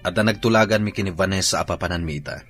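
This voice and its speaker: A man's voice: deep voice, very fast, over music, lightly acted. A man with an Ilocano accent playing an unfaithful young husband in a radio drama.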